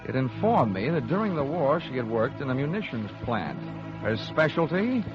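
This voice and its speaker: A man's voice: mid-range voice, big pitch swings, normal speed, music in the background, natural voice. A man acting a wry, hard-boiled insurance investigator in an old radio drama, American, with clipped, sardonic delivery. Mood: dry narration. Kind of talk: old-time radio detective drama acting and first-person narration. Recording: muffled vintage radio drama recording.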